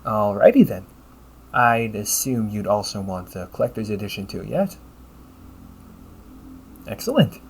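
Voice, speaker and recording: Man acting as a lively AI caregiver companion, audible background noise. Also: lowish voice, unhurried, gravelly, pauses often. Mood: helpful, chatty. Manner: polite, chirpy, gently nagging, butler-like phrasing. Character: upbeat, teasing male AI caregiver companion, fussy and helpful. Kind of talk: scripted audio roleplay addressed to the listener.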